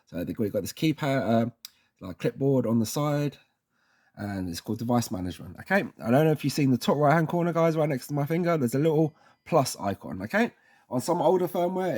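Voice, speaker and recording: A man's voice: on the high side, expressive, a little fast, a little mellow. A man presenting a casual how-to tutorial, informal and reassuring, often checking in with little tag words.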